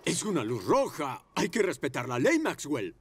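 A man's voice: fairly high pitch, huge pitch swings, normal speed. A man voicing an officious adult police motorcycle who hands out tickets, in a cartoon dub with music behind. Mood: stern. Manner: officious, stickler for rules.

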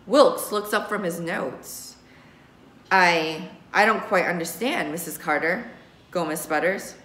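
A woman's voice: medium pitch, a bit bright. Woman reading a novel aloud, roomy echo. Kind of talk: expressive audiobook-style read-aloud of a novel. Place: small indoor room with bookshelves. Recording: roomy, echoing small room.

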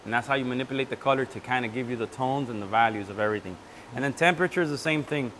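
A man's voice: medium pitch, racing, fairly bright tone, slight background noise. A man in his thirties hosting a custom paint class, talking loosely and joking, often trailing off mid-sentence, on a lapel mic indoors. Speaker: host and paint maker. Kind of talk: casual workshop teaching. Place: indoor event room before a backdrop.

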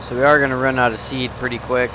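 A man's voice: mid-range voice, level tone, fast talker. A young man chatting casually while he plays a game, rambling and talking to himself, a bit sniffly from a runny nose. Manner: rambling, self-talking, easily surprised. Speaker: gaming channel host. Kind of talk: casual let's-play commentary. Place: home gaming setup, indoors. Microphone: headset. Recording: noisy home recording.

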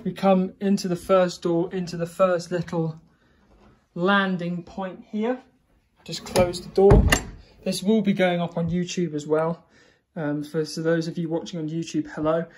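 A man's voice: sits high, level tone, unhurried, background noise. A young man talks his way through a cottage tour, chatty and a little meandering, with fillers and small restarts. He films on a handheld phone as he walks indoors from room to room. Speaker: vlogger. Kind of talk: casual house tour. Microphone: phone camera held in hand.

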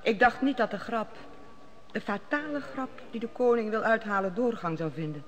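A woman playing a worried, dignified queen in a radio play, speaking formally and measured. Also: a little high, close to an everyday voice. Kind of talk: 1960s radio drama acting.

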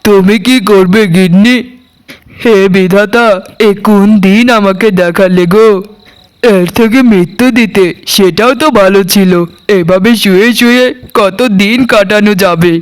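A man's voice: high-pitched, fairly even intonation, measured pace, clean tone. A man voicing a poor, put-upon labourer husband in a cartoon, plaintive and reproachful. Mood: despairing. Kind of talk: Bengali cartoon voice acting. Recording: music behind the voice. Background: music.

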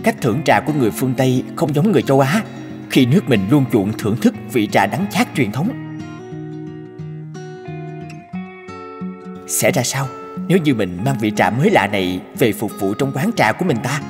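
A man's voice: medium pitch, sing-song, quick pace, close to an everyday voice. Guy voicing polite young cartoon teahouse owner, earnest n respectful, music behind him. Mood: thoughtful. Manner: respectful, explanatory. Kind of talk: Vietnamese cartoon dub.

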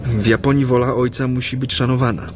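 An actor playing a reserved Japanese interpreter in exile in a naturalistic radio drama, speaking politely with hesitant pauses. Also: male voice, medium pitch, flat delivery, noisy background, natural voice. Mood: guarded, polite. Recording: studio radio drama with music.

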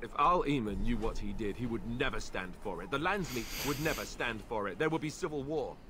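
A man's voice: mid-range voice, animated, fairly quick, pushed and bright, a little hiss or hum. A young man acting an earnest, anxious warrior in a fantasy game, hesitant and naive in his delivery. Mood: earnest, indignant. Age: young adult.

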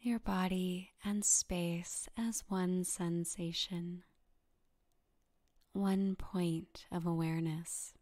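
A woman softly guiding a meditation in a breathy, half-whispered voice, calm and soothing, with gentle instructions and long pauses. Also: lowish voice. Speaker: yoga nidra meditation guide. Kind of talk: guided meditation narration.

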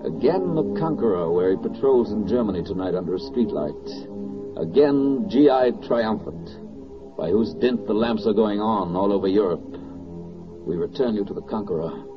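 A man performing as a solemn, declamatory narrator of a wartime radio drama, with dramatic pauses. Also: lowish voice, expressive. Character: solemn, oratorical male narrator of wartime victory. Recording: dull, band-limited 1940s radio record with music.

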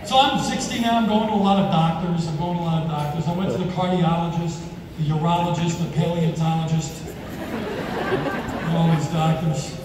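A man in his sixties doing a stand-up comedy routine on a theater stage, with a deadpan, self-mocking delivery. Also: on the high side, normal speed, noisy background. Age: 60s. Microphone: handheld mic, filmed from far in the audience. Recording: echoey hall with crowd, from far off. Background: audience laughter and applause.

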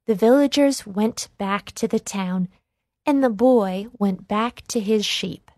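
A woman narrating a children's read-along storybook with careful, deliberate phrasing. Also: medium pitch, darkish tone, no room sound, studio quality.

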